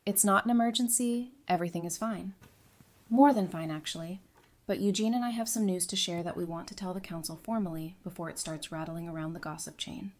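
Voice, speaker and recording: A woman playing a warm, antsy young newlywed in an audio drama, talking casually and affectionately. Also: on the low side, a little fast, close to an everyday voice. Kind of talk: fan audio drama acting.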